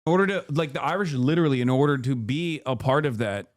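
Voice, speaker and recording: A man's voice: medium pitch, fast talker. A man hosting a live stream, chatting casually and conversationally with frequent fillers. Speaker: streamer host. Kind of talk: casual chat.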